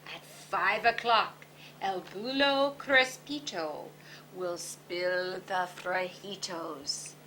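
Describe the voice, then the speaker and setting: A woman's voice: on the low side, extremely slow, sharp tone, slight background noise, exaggerated voice. A woman playing a bossy little chihuahua. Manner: rhyming, sing-song delivery. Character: bossy little male chihuahua, playful schemer.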